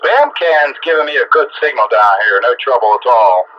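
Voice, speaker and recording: A man's voice: mid-range voice, animated, normal speed. A man talking over a CB radio as a hobby operator, in casual, folksy chat, often repeating his own phrases. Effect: CB radio transmission. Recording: muffled, noisy CB radio transmission.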